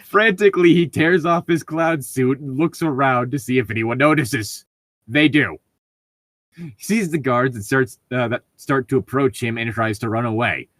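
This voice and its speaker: A man's voice: medium pitch, moderate pace, a bit bright, no echo. A man reading a tabletop gaming story aloud as a co-host, informal and amused.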